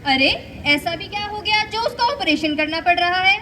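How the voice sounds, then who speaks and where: A woman's voice: very high voice, moderate pace, bright, forceful tone. A female performer playing a patient, instructive mother in a school stage play, reciting explanations. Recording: live stage, faint background noise.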